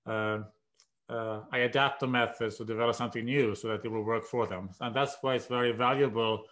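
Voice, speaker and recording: Older Swedish-accented man, measured. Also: mid-range voice, fairly even intonation, fairly bright tone. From Sweden. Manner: measured, hesitant with many "uh"s. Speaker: guest professor. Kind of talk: conversational interview. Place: home room. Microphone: video call.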